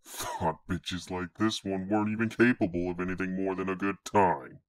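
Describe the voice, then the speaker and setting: Casting: man as menacing cartoon demon thug, deep voice, crude and sneering. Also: fairly even intonation, normal speed, close to an everyday voice. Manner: threatening, sneering. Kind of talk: animated fan audio drama acting. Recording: loud music over the voice.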